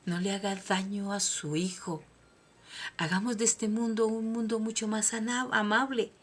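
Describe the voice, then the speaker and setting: A woman's voice: mid-range voice, steady tone, moderate pace. Emphatic Colombian woman speaker. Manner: emphatic, preaching, swings from soft to loud. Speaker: host, motivational speaker. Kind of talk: personal motivational monologue.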